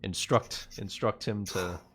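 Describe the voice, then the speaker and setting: A man chats as a player in a casual online tabletop game. His voice sits on the low side for a man, yet it has a sharp, forceful brightness. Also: steady tone, measured pace, breathy edge.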